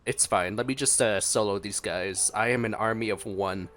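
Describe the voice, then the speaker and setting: A man's voice: on the low side, normal speed, fairly bright tone. A man giving casual, jokey reaction commentary as a gaming streamer. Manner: jokey, playful. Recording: game music behind the voice.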